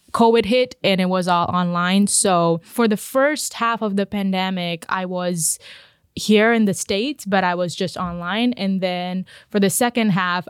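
A woman's voice: mid-range voice, clear, resonant tone, dry recording, studio quality. A woman in her twenties from India, a student guest chatting casually on a podcast in an upbeat way with fillers and restarts.

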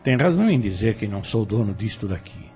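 An actor playing a scheming landowner who persistently courts a young widowed heiress in a radio drama. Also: male voice, lowish voice, big pitch swings, fast talker, mellow, faint music behind. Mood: persuasive.

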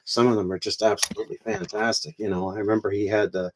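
A man's voice: lowish voice, little pitch movement, fast talker, background noise. A man talking as a guest in a casual chat, rambling with lots of fillers and eager agreement.

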